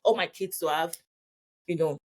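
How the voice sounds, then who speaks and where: A woman's voice: deep voice, fairly even intonation, moderate pace, a little mellow. A woman with a Nigerian accent, a guest in a casual interview, chatty and often checking in with the listener. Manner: chatty, repeats words, checks in with "okay?". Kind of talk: casual conversation.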